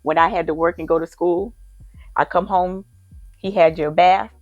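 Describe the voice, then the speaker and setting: Older mom sharing family memories; low, warm-natured delivery, close dry mic. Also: female voice, steady tone, normal speed, dry recording, lo-fi sound. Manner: fond and reminiscing, laughs softly while talking. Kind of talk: casual family conversation.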